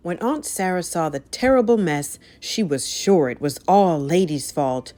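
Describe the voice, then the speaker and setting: A woman reading a children's story aloud as narrator, with an expressive, dramatic delivery. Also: fairly low pitch, unhurried, faint music behind.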